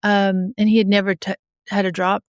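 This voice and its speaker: Middle-aged woman judge, grew up in australia, smooth clear voice, bit sniffly from a cold, talks frank with lots of um and restarts. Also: medium pitch, flat delivery, moderate pace, no room sound, clean studio sound. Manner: frank, often laughs softly, many fillers and restarts. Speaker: judge, podcast guest. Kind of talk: candid interview talk, recovery story.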